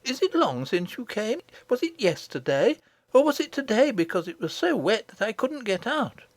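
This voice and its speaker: A woman's voice: fairly high pitch, very animated, normal speed, no echo, voice pushed up. A woman voicing an innocent, curious little princess in a storybook audiobook, with eager, frank, childlike questions. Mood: puzzled, curious.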